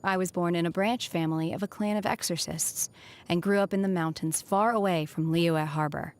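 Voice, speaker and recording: A woman playing a reserved, solemn young exorcist disciple in a video game, speaking in a measured, formal way. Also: lowish voice, steady tone, lightly acted. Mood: composed, solemn. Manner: measured, formal, restrained delivery. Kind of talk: scripted video game character voice lines. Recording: music behind the voice. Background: music.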